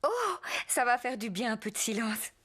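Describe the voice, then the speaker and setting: A woman voicing a gentle mother pig in a preschool cartoon, explaining things soothingly and patiently. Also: fairly high pitch, very animated, normal speed, husky, exaggerated voice. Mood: reassuring.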